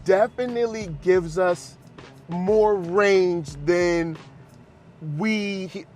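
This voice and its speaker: A man hosts an off-the-cuff movie chat. He is playful and excitable, repeating himself to make a point, with faint music underneath. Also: high voice, very slow. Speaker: film reviewer and channel host. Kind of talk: casual, unscripted movie reaction chat.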